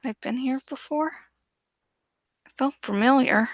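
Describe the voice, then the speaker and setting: A woman reading a novel aloud as dramatic first-person narration, with deliberate, suspenseful phrasing. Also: sits high, no room sound. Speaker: audiobook reader.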